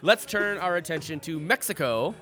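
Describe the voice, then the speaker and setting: A man's voice: fairly high pitch, expressive, normal speed, sharp tone, faint music behind. A man co-hosting a sports podcast, rambling casually with lots of fillers, restarts and swearing. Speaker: podcast co-host.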